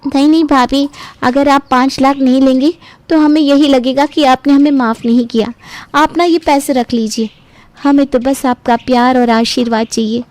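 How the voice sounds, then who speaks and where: Woman as a high-voiced, pleading sister-in-law. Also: steady tone, moderate pace, a little mellow, no room sound, natural voice. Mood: pleading, flattering. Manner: pleading, ingratiating. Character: flattering, falsely remorseful younger sister-in-law. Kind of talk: Hindi family drama cartoon storytelling.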